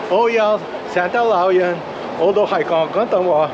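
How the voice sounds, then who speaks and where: Playful man vlogging over loud outdoor noise. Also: high voice, moderate pace, muffled recording. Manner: jokey, playful. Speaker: vlog host in Santa persona. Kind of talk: casual travel vlog chat. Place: outdoors in a temple garden. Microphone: action camera. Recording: loud ambient noise on action camera.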